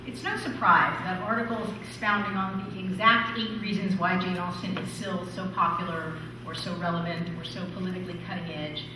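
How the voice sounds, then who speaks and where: A middle-aged woman speaking as an author about her subject to the public. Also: fairly low pitch. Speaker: author giving a book talk. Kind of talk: public talk and interview. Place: large library meeting hall. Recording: roomy hall, slightly distant, audience noise. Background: audience chatter.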